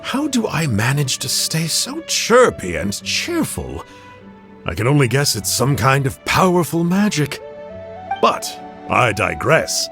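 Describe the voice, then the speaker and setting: A man's voice: mid-range voice, wildly swooping pitch, unhurried, a bit bright, faint music behind, heavily acted. A man playing a cheerful, breezy male spy from a villainous order, disarming and talkative. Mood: chatty. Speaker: captured villain.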